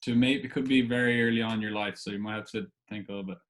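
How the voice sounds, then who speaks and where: A man's voice: fairly low pitch, steady tone, rapid-fire, a bit bright. A man hosting a casual podcast interview, asking questions in an informal, hesitant way.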